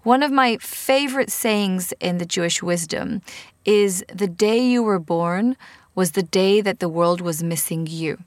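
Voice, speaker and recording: A woman with an Israeli accent hosting a podcast interview, asking earnest, thoughtful questions in a gentle, probing way. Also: fairly low pitch, a little slow, no room sound. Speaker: podcast host. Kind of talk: reflective interview conversation.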